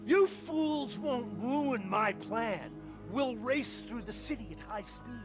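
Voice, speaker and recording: A man's voice: very high-pitched, expressive, takes it slowly, over music, exaggerated voice. A man playing a greedy, grandiose, megalomaniac middle-aged finance tycoon in a satirical video game film. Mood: villainous, frantic. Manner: pompous, grandiose, villainous.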